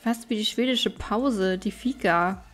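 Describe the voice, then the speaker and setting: A woman's voice: medium pitch, measured pace, fairly bright tone, soft background music. A woman giving casual, chatty gameplay commentary, thinking aloud as she plays. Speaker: let's player.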